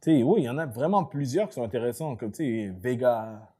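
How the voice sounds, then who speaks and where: A man, co-hosting a casual podcast chat, animated and laughing, slipping into English. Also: mid-range voice, fast talker, husky. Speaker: podcast co-host.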